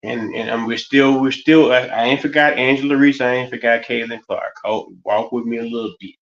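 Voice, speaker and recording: A man's voice: medium pitch, fairly quick. Rambling middle-aged man on a lo-fi stream. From United States. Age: 50s. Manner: rambling, loose, many restarts and fillers. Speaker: stream host. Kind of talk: casual monologue. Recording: slightly dull lo-fi stream audio.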